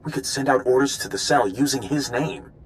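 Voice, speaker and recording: A man's voice: mid-range voice, fairly quick, slight background noise, natural voice, low-quality recording. An actor playing an earnest, scheming male undercover informant in a video game, speaking in an urgent, conspiratorial way. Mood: urgent, plotting. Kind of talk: video game dialogue acting.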